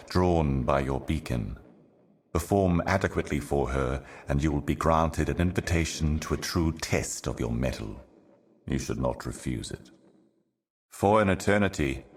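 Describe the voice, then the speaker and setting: An actor playing an ominous, ancient otherworldly herald in a video game, speaking in solemn, cryptic, deliberate monologue. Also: male voice, extremely low pitch. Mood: grave warning, then cryptic, foreboding. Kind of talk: scripted video game voice acting, slow monologue.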